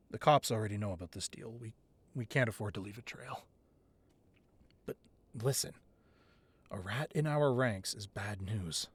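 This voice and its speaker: A man's voice: mid-range voice, slightly rough. A man playing an affectionate boyfriend who secretly runs a crime ring, in an intimate, conversational ASMR roleplay. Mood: cold, stern. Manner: conversational, playful with partner, curt and commanding in business.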